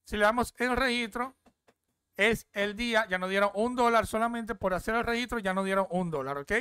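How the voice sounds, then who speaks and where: A man's voice: high voice, a little fast, pushed and bright, clean tone, no echo, studio quality. A young man casually walking viewers through an app on screen. He talks from a desk at home and keeps checking in with "¿ok?". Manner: chatty, repeats "¿ok?" often. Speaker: crypto app reviewer. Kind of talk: casual screen-share walkthrough.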